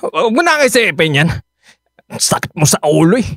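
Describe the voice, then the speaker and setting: A drunk, short-tempered live-in boyfriend in a radio drama. He slurs and hedges with "eh" and "ah", and his pitch swings wildly from low mutters up to high yelps. The voice sits close and dry. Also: big pitch swings, brisk, a bit bright, dry recording, exaggerated voice. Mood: irritated. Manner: slurring, defensive, full of hesitant "eh" and "ah".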